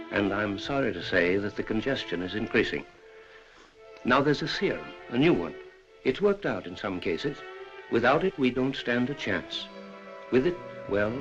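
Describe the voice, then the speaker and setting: A solemn, fatherly doctor, played by a man, speaks with sweeping inflection. The old film soundtrack is muffled, with music clearly behind him. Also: mid-range voice, natural voice. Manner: measured, solemn. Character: grave, paternal male physician delivering hard truths. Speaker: actor. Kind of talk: old Hollywood melodrama acting.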